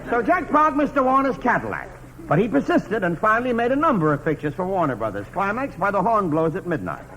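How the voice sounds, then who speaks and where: A man's voice: sits high, very animated, quick pace. A man narrating a scripted comic biography in mock-grand style, setting up punchlines on a vintage radio show. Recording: noisy vintage radio, audience noise. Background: live audience.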